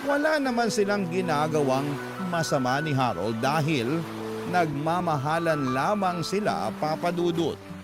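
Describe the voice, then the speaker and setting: Male radio storyteller over loud music. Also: on the high side, lively intonation, measured pace. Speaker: radio drama narrator. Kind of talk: scripted first-person story narration.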